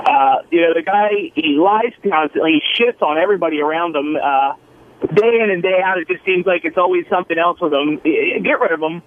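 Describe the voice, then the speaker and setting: A man calling in to a radio show, arguing bluntly for his opinion over a narrow telephone line. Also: fairly high pitch. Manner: blunt, argumentative. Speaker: phone-in caller.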